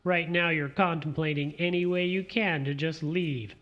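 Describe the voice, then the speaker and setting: A man's voice: on the high side, fairly even intonation, normal speed, lo-fi sound, lightly acted. A man playing a smug, needling partner in a radio play, taunting in a theatrical way.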